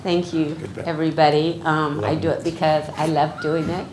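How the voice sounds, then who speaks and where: A woman giving a short, emotional thank-you speech as an award honoree in a meeting room, with a loud voice and near tears. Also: deep voice, little pitch movement, moderate pace, a little hiss or hum. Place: county board meeting room. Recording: echoey meeting chamber.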